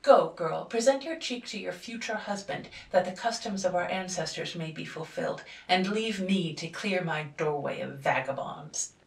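A woman voicing an angry, commanding old father in a storybook reading, loud and scolding, in an echoey room. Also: lowish voice, steady tone, moderate pace, creaky, far from the mic. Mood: enraged.

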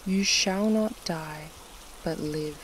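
A narrator reading scripture and prayer for a sleep meditation in a measured, solemn way with pauses between short phrases, in a devotional style. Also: mid-range voice, a little hiss or hum. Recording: steady rain sound over the voice. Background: soft rain.

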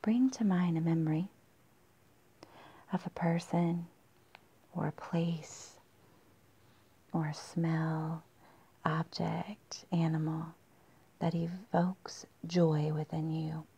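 A woman leading a guided meditation in a soft, breathy, husky near-whisper with long pauses, in a dull, muffled recording. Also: low voice, fairly even intonation.

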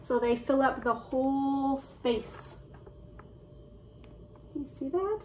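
Excited chatty woman vlogging. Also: high-pitched, slight background noise. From Canada. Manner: quick, excited, chatty with frequent fillers and laughs. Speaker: cross-stitch vlogger. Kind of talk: casual show-and-tell chat. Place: living room at home, indoors. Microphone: webcam at desk distance.

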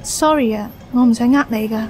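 A woman playing a gentle, apologetic young woman in a radio drama, soft and hesitant, with a Hong Kong Cantonese accent. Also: on the high side, fairly quick, roomy sound, a little hiss or hum, lightly acted. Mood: guilty.

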